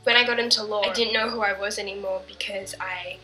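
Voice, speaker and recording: Young woman, documentary interviewee, bright timbre, candid and hushed delivery, light music bed. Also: medium pitch, fairly even intonation, fast. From born in Canada, raised in Bangladesh.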